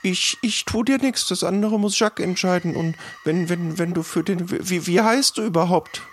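A man plays an eager young boy who apprentices as a cannibal's cook in a fantasy role-play. The voice sits fairly high and keeps stumbling and repeating words with naive earnestness. There is faint noise behind it. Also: moderate pace, natural voice. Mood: hesitant.

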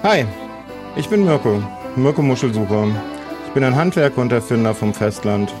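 A man's voice: mid-range voice, lively intonation, normal speed, music in the background, close to an everyday voice. A man playing an eager, green middle-aged craftsman who wants to be a pirate, in an improvised audio adventure with hesitant delivery. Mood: determined.

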